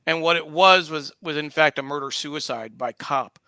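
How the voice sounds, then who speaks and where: A man with a bright, clear voice hosts an online conversation, recorded dry and close to the ear. He speaks conversationally, feeling his way through phrases with some hesitation. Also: a little high, moderate pace, no room sound. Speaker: guest host of an online discussion show. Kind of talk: conversational interviewing.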